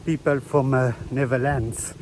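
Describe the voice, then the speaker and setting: Slow-spoken Belgian man vlogging outdoors. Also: medium pitch, steady tone, a little mellow, slight background noise. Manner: halting non-native English, eager and chatty. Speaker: travel vlogger. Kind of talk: casual travel vlog talk to camera. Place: outdoors on rural jungle hills.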